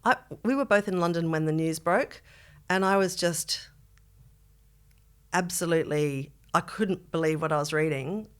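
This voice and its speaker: A woman's voice: on the low side, normal speed, smooth. A middle-aged woman co-hosting a podcast, speaking conversationally and seriously, often qualifying herself with asides. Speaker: podcast co-host.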